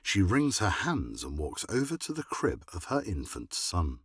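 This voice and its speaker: A man's voice: sits low, huge pitch swings, measured pace, fairly bright tone, slightly rough, no echo. A man reading scripted dramatic narration for an audio drama.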